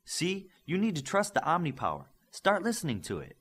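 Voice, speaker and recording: Man as young female superhero, instructive, confident, animated delivery, audiobook character. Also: a little high, moderate pace, breathy edge, pitched above the performer's own voice, full character voice. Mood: explaining, confident. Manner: coaching, explanatory.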